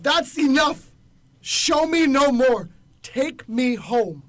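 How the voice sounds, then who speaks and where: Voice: high voice, very animated, slow pace, a bit bright, no room sound, faint music behind, full character voice. An actor playing a frightened, remorseful old miser in a radio drama, pleading and agitated. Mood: desperate pleading.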